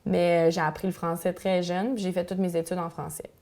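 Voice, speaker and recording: A woman's voice: on the low side, level tone, brisk, darkish tone. A young woman singer with a Quebec French accent, English being her first language, chatting casually in an interview with plenty of fillers. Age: young adult. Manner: chatty, full of fillers and restarts.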